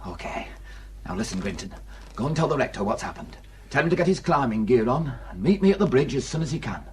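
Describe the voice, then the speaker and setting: An actor playing a grumbling, sarcastic village police sergeant with a brusque manner in a radio drama. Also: male voice, mid-range voice, sing-song, quick pace, husky.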